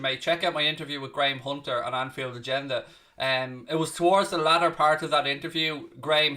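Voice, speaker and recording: A man's voice: medium pitch, pushed and bright. Chatty Dublin Irishman calling a match. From Ireland. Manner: chatty, blunt, answers viewer questions between play-by-play calls, occasional swearing. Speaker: host of a live football watchalong. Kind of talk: casual live match commentary and chat with viewers.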